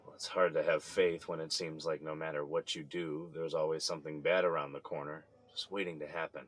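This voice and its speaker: A man's voice: rumbling low, flat delivery, a little fast, fairly bright tone. A man playing a weary, embattled middle-aged son in an audio drama, downcast, frustrated and bitter.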